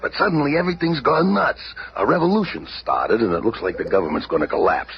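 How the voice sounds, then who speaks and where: A man's voice: medium pitch, lively intonation, fast, lightly acted. An actor playing a tough male army colonel who talks in clipped, sly street slang, in 1970s radio drama acting. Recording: dull noisy 1970s radio recording.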